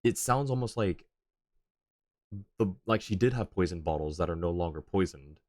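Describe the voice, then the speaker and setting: A man giving casual, musing, halting live commentary as a game streamer. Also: low-pitched, lively intonation, a little mellow, no echo. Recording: game music clearly behind the voice. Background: video game music.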